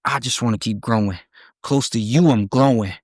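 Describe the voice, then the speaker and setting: A man's voice: medium pitch, normal speed, darkish tone, no room sound, studio quality. A man, a guest rapper, talking casually and rapping his verses with a rhythmic flow. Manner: rhythmic rap delivery, laid-back in conversation.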